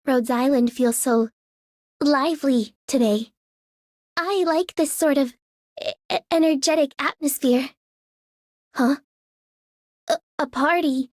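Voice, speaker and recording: A woman voicing a shy, gentle young girl in a game, hesitant and easily flustered. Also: high voice, slow, long pauses, dry recording, clean studio sound. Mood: shy, wistful. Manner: hesitant, stammering. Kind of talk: scripted game character voice line.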